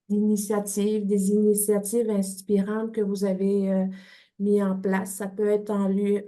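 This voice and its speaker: A woman moderates an online discussion unscripted, halting and repeating words as she looks for her phrasing. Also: medium pitch, dark tone. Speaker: webinar moderator.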